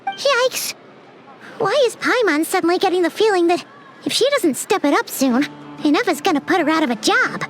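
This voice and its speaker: A woman's voice: extremely high pitch, lively intonation, normal speed. A woman voicing a tiny, chatty female companion sprite who talks about herself in the third person, in video game dialogue with music behind. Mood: fretful.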